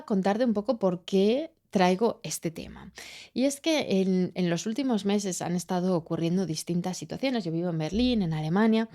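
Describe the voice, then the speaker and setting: Warm-voiced woman hosting a reflective podcast. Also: medium pitch. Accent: Spain Spanish. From Chile. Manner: searching, hesitant, many fillers and restarts. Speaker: podcast host. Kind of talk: reflective solo podcast monologue.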